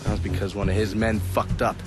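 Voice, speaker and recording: A lowish-voiced actor as a cynical informant in a crime game. He is wry and crude, laying things out matter-of-factly, with music clearly playing behind him. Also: little pitch movement, brisk, close to an everyday voice. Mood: wry briefing. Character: cynical, foul-mouthed male informant briefing an ally. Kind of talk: video game cutscene acting.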